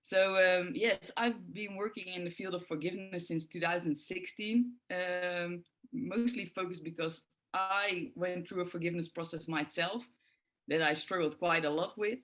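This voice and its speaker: A woman's voice: lowish voice, moderate pace, a bit bright, rough recording. A middle-aged woman from the Netherlands with a Dutch accent, speaking thoughtfully and with many filler sounds as a guest on forgiveness work. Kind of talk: reflective interview answers.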